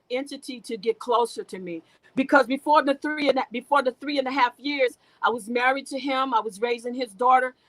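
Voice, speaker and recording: A middle-aged woman candidly telling her life story as an interview guest, halting and restarting, often laughing. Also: high voice, fairly bright tone, poor audio.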